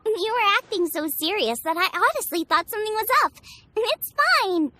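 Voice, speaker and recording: Very high-voiced, breezy young girl chef. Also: lively intonation, moderate pace, sharp tone, no echo, full character voice. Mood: cheerful. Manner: breezy, frank, encouraging. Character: upbeat, easygoing teenage girl chef. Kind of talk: video game voice acting. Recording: clean studio voice over game music. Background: tinkly children's-style game music.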